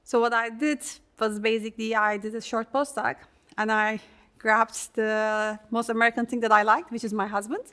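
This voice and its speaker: A woman's voice: on the high side, steady tone, moderate pace, a bit bright. A woman from Turkey with a Turkish accent, a research scientist talking casually and personally, with a rambling, filler-sprinkled way of telling things. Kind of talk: casual conversation about life and career.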